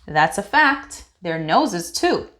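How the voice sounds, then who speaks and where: A woman voicing a courteous, gentle blind man in a storybook, savoring his words in a courtly way. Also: fairly low pitch, sing-song, slow pace, exaggerated voice. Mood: genial.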